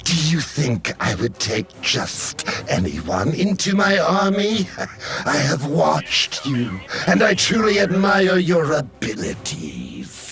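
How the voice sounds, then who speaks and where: Slow, wildly theatrical megalomaniac villain. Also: male voice, on the high side, huge pitch swings, music in the background, exaggerated voice. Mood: mocking, tempting. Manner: grandiose, drawling, mocking. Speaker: game villain. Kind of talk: video game villain acting.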